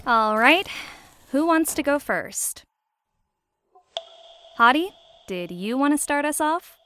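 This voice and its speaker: An actress playing a bookish young student, explanatory and matter-of-fact, in an audio drama. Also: female voice, high voice, animated, takes it slowly, pushed and bright, exaggerated voice. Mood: friendly. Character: bookish young female art history student. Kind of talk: natural audio drama dialogue. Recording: faint ambience behind.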